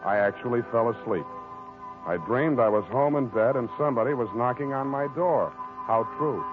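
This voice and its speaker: An American man acting a wry, weary hard-boiled private detective in an old-time radio drama, deadpan and sardonic. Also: mid-range voice, normal speed, natural voice. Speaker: lead actor of a radio detective series. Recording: narrow, tinny old-time radio recording with music.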